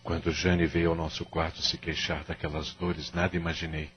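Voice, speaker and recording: Man acting deep-voiced anguished middle-aged father. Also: level tone, normal speed, slight background noise, lightly acted. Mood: grave, bitter. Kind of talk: audio drama acting. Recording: muffled audio drama recording with music.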